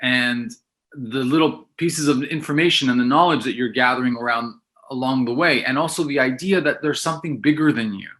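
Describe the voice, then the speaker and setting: Bright-voiced reflective male filmmaker. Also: medium pitch, brisk. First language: Italian. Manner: reflective, many restarts and repeated words. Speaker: filmmaker guest. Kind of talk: casual interview chat.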